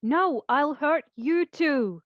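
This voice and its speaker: Woman as bossy elf, fantasy comedy, high pitch, challenging, dull recording. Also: lively intonation, takes it slowly. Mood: defiant. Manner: curt, challenging. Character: suspicious, bossy young female elf with dagger. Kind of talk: fantasy comedy table read. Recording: dull, lo-fi microphone.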